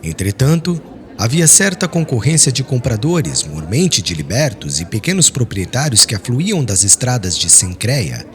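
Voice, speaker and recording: A man giving a solemn, expressive, dramatic reading of a literary novel as an audiobook narrator. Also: mid-range voice, normal speed, faint music behind.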